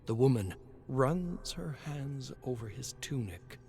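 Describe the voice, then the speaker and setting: A man narrating a scripted story dramatically, in a measured and solemn manner. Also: mid-range voice, animated, crowd noise. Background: music.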